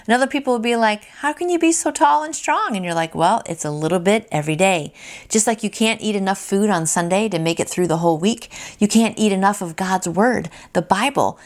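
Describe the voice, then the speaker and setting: A woman hosting a daily devotional, reading aloud and adding casual, earnest, encouraging asides. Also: medium pitch. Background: music.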